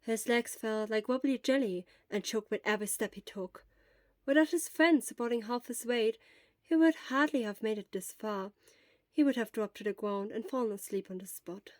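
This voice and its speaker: Casting: woman narrator for an audiobook, soft, slightly high voice, dry close recording. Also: normal speed, no echo. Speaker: fan fiction reader.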